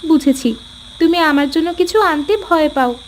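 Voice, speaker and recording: A woman's voice: sits high, normal speed, a little hiss or hum, close to an everyday voice. A woman voicing a sulky, nagging young village housewife in a cartoon, wheedling and complaining. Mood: sulky, demanding. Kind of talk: cartoon voice acting in Bengali family story.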